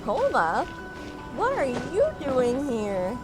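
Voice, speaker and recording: A woman's voice: high voice, huge pitch swings, slow, music in the background. A woman game streamer giving casual live commentary as she plays.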